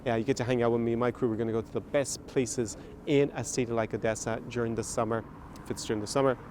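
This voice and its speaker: A man's voice: medium pitch, steady tone, quick pace, background noise. A man hosting a video outdoors, talking to viewers in a casual, rambling, informal way. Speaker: host promoting a language course and travel consulting.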